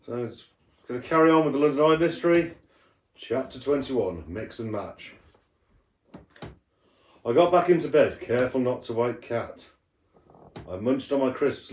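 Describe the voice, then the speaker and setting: A man's voice: medium pitch, a little mellow. A man reading a storybook aloud as a teacher would to pupils, expressively and with the odd stumble. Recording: roomy echo, distant from the mic.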